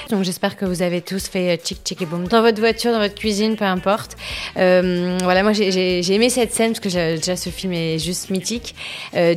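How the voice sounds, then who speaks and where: A woman presenting a radio segment in an enthusiastic, explanatory way. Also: fairly low pitch. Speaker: radio columnist.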